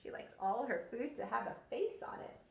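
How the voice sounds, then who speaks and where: A woman teacher reading a children's story aloud expressively, pausing to ask her listeners questions. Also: a little high, expressive, gravelly, a little hiss or hum. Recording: echoey room, distant microphone.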